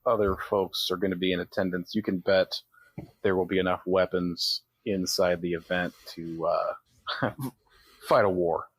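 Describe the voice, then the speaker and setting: A man's voice: low voice, fairly even intonation, unhurried, slightly rough, no echo, low-quality recording. A man playing a tabletop game, laying out his thinking in a matter-of-fact way. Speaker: player.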